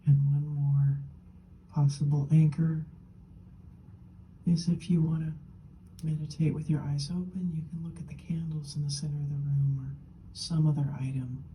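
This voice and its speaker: A woman leading a guided meditation as a teacher, gently and unhurriedly, in short phrases with long pauses, her soft, breathy voice often falling toward a whisper. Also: low voice, flat delivery, slight background noise, poor audio.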